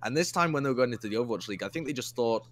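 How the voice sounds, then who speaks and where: A young man co-hosting an esports chat, laying out measured, reasoned analysis with some dry humor. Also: mid-range voice, expressive. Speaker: co-host analyst.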